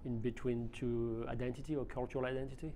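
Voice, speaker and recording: A male host asks questions in a hesitant way, feeling out his words with many small pauses. His tone is soft and mellow, with faint room noise behind him. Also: medium pitch, flat delivery. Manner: hesitant, searching questions full of "uh". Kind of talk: interview questions at a round table. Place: restaurant dining table, indoors.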